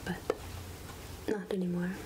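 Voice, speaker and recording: Woman playing a slow, whispery, gentle witch healer. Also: on the low side, little pitch movement, natural voice. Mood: curious, gentle. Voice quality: soft, breathy, half-whispered. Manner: slow, gentle, hesitant murmuring with repeated phrases. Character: gentle, absent-minded witch healer and potion seller. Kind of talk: soft-spoken ASMR roleplay. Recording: close-up ASMR with clear background noise.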